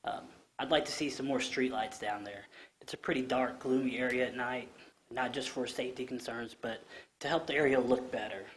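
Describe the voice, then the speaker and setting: Man, thirties, low voice, earnest, halting ums, podium microphone, council chamber, faint background hiss. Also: fairly even intonation, fairly bright tone, poor audio. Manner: earnest, plainspoken, frequent ums and restarts. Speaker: community organization speaker at public comment. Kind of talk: public comment speech at council meeting.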